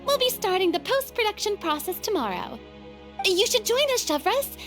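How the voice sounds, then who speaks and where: A woman voicing an upbeat, decisive young female film director in a video game, with a brisk, sociable, take-charge manner. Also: very high-pitched, sing-song, a bit bright, faint music behind, full character voice. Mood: cheerful, decisive. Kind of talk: anime-style video game voice acting.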